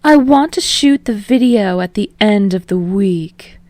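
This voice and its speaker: Woman as raised-pitch, brisk woman boss. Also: expressive, darkish tone, dry recording, natural voice. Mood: brisk insistence. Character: brisk businesslike woman manager, pushing for speed.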